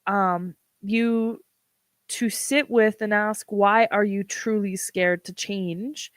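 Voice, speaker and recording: A woman hosting a livestream, talking casually with a froggy, hoarse voice and many ums. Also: mid-range voice, no echo. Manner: unhurried, with frequent ums. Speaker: livestream host.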